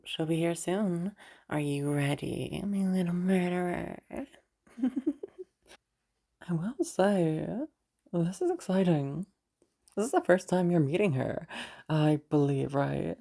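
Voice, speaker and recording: A woman's voice: deep voice, slow, creaky, dark tone, heavily acted. A woman playing a playful, menacing villainess girlfriend who talks in a smug, amused, teasing drawl in an intimate audio roleplay.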